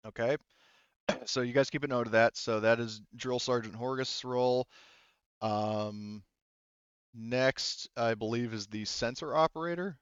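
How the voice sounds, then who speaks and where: A man running a tabletop game as game master, explaining rules casually in a halting way and often repeating himself. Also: medium pitch, clean tone, no room sound, highs cut off.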